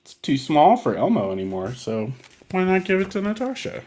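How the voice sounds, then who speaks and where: Gentle man hosting children's storytime. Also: on the high side, wildly swooping pitch, moderate pace. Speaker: storytime host at a library. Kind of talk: casual commentary for young children.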